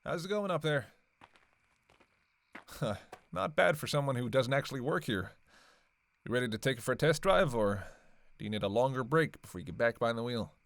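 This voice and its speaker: A man's voice: mid-range voice, animated, a little fast, creaky, long pauses, no echo. A man playing a sardonic, flirtatious, long-lived vampire killer in a roleplay audio drama, with casual, teasing, sweary banter. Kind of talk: casual roleplay audio acting, one side of a dialogue.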